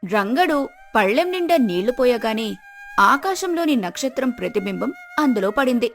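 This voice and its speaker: Woman, storyteller, scripted children's tale narration, higher-pitched voice, soft music behind. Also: big pitch swings, moderate pace.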